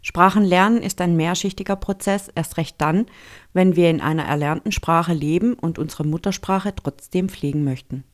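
Measured scripted radio narration. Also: medium pitch. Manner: measured, formal read. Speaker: radio reporter.

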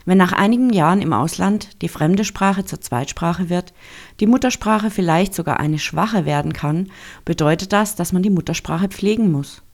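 A reporter reading a scripted feature narration in a measured, formal way. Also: mid-range voice. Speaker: radio reporter.

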